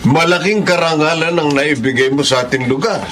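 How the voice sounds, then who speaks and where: A man's voice: a little high, fairly quick, background noise, lightly acted. A Filipino actor playing a caring, proud middle-aged father in a Tagalog radio drama.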